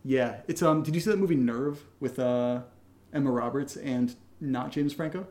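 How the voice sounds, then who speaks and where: A man's voice: mid-range voice, normal speed, darkish tone, slight background noise. A man co-hosting a podcast in casual chat, answering listener questions in a playful, opinionated way with short, punchy verdicts. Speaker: podcast co-host and creator.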